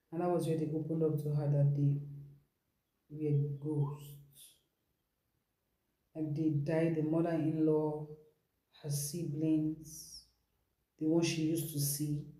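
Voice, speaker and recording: A woman's voice: sits low, mellow. A woman with a Nigerian accent telling a dramatic story as a host, emphatic and repeating phrases for effect. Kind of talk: dramatic storytelling, retelling someone's account. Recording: echoey room.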